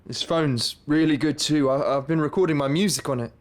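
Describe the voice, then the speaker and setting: A man's voice: a little high, fairly quick, natural voice. An actor playing a young man with schizophrenia, a nervous, stammering musician, in a radio drama. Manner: stammering, hesitant restarts.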